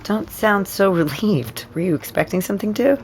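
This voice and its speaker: Measured, earnest counselor played by a woman. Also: mid-range voice, slight background noise, close to an everyday voice. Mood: dry, probing. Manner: measured, earnest, gently probing. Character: caring, steady female counselor with dry humor. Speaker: counselor in an audio drama. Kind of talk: naturalistic audio drama acting.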